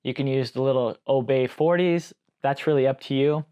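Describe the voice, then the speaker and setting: A man's voice: medium pitch, steady tone, moderate pace, mellow, clean tone. A man giving a casual, conversational explainer on gear as an informal video host. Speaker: host, live sound engineer.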